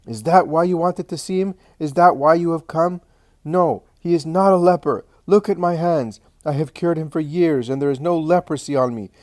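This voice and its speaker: A man's voice: medium pitch, normal speed, darkish tone, dry recording, natural voice. A man voicing an anguished, frightened woman who protests and pleads in an agitated way, in a dramatic story reading. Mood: distraught.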